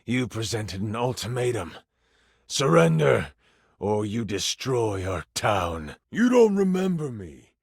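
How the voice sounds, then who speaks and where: Big mean tiger boss, husky n raspy, slow mocking villain speech, fantasy game. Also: male voice, medium pitch, very animated, no echo, clean studio sound, pitched below the performer's own voice, heavily acted. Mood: menacing, bitter. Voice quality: gravelly, husky. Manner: theatrical, slow, sneering villain monologue. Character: huge menacing tiger villain, mocking, vengeful.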